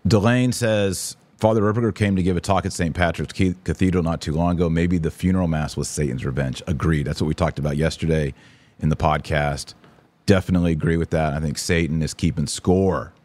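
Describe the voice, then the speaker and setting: A man's voice: deep voice, fairly quick, darkish tone. A man hosting a live podcast, giving opinionated commentary and answering listeners in an emphatic, preacherly, indignant way. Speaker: podcast host.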